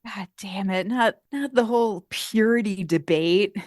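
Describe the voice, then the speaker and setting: A woman co-hosting a podcast, tossing in wry, quick-witted remarks in casual banter. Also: medium pitch, a little mellow, no room sound, clean studio sound. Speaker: podcast co-host.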